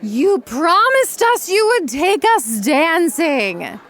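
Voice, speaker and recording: Squeaky, pouting flirt. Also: female voice, big pitch swings, takes it slowly, a bit bright, heavily acted. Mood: playful pleading. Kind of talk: dramatised fantasy audiobook acting.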